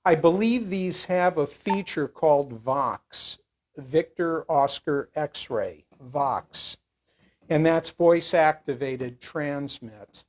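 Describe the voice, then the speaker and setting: An older man giving a casual product review in a rambling, halting way. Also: a little high.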